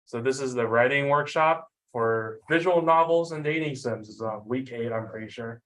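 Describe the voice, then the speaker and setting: A man's voice: mid-range voice, moderate pace, a bit bright, poor audio. Young guy doing a casual slide talk, sleep-deprived, kinda rambly and self-deprecating. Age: young adult. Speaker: student workshop presenter. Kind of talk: informal slide lecture.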